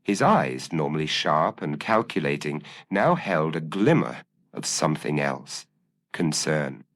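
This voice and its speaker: A man narrating a first-person detective audiobook in a measured, formal, literary manner. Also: extremely low pitch, sing-song, a bit bright.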